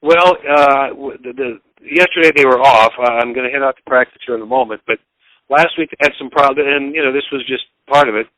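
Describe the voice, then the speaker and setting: A man giving casual sports commentary as an interviewed broadcaster, in a halting, rambling, folksy way. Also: medium pitch, fairly bright tone, no room sound, poor audio.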